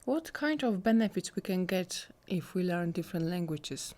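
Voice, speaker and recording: A woman's voice: fairly low pitch, animated, moderate pace, darkish tone. Woman hosting an interview. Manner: encouraging, frequent brief affirmations. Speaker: talk show host. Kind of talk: interviewing a guest.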